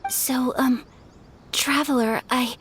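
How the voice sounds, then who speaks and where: A woman voicing a gentle, refined young noblewoman in a video game cutscene, polite and a little hesitant. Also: high voice, steady tone, slow, a little hiss or hum. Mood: earnest. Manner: soft-spoken, polite, hesitant.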